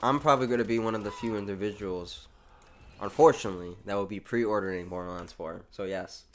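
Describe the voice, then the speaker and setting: A young man from the United States hosts a live game stream, talking in a loose, slangy ramble full of restarts as he answers chat. His voice sits a little low, and keyboard typing can be heard behind him. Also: a little fast. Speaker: livestreamer. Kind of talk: casual live gameplay chat.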